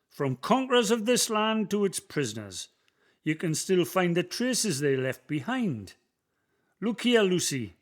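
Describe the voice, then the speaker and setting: An actor with a Northumbrian accent playing an old local man who guides a visitor through the valley's history in a community radio play, telling stories in broad dialect. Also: high-pitched. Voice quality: folksy storyteller, broad dialect words. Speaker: guide character Billy.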